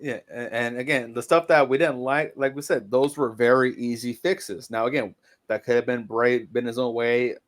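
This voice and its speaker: A man in casual podcast chat, measured and reflective, often stammering into his points. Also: medium pitch, fairly even intonation. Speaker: podcast co-host.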